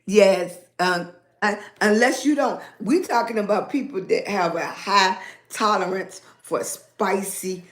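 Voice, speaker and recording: A raspy-voiced woman hosts a livestream. She talks slowly and rambles, stopping to greet viewers by name. Her voice echoes around the room. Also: medium pitch. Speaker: livestream host. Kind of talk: casual livestream chat with viewers.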